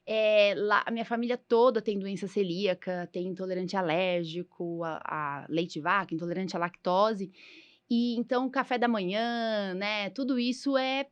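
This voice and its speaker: A Brazilian woman in her forties hosts a relaxed podcast chat. She talks in a loose, chatty way with lots of small fillers, her pitch sitting in an everyday middle range. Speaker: podcast host, allergist.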